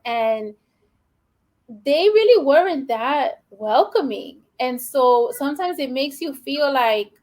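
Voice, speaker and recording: Woman, New York Puerto Rican accent, high voice, earnest, reflective, conversational guest. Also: darkish tone. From the Bronx, New York. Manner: earnest and reflective, many "you know" and "like" fillers. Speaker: educator, podcast guest. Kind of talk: conversational interview.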